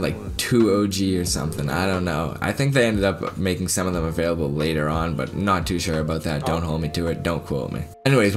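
A young man with a Boston accent talks into a desk mic at his home gaming setup, his voice sitting low. He chats casually and directly to his viewers, winding through an easygoing story. A faint music bed plays underneath. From Boston area, Massachusetts. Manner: casual, chatty storyteller talking straight to viewers. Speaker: gaming video creator. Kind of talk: sit-down gameplay commentary with story time.

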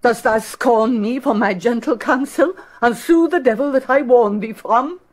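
A woman's voice: on the high side, normal speed, natural voice. An actress playing a bitter old deposed queen, declaiming ominous prophecies and curses in Shakespearean drama. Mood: bitter cursing. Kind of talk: theatrical Shakespearean stage acting.

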